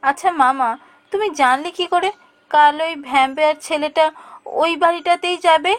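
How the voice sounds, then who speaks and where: A woman voicing a curious, stubborn child who narrates a spooky cartoon adventure in a suspenseful, expressive way. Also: high-pitched, moderate pace, close to an everyday voice. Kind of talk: dramatic scripted ghost-story narration for animation.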